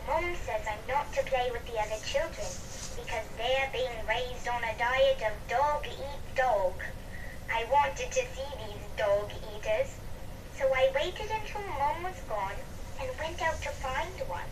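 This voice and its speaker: A woman's voice: high-pitched, lively intonation, a little slow. A woman playing a curious, naive little girl who tells her story precisely in a video game audio diary. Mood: innocent, confiding. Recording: distant, noisy game playback.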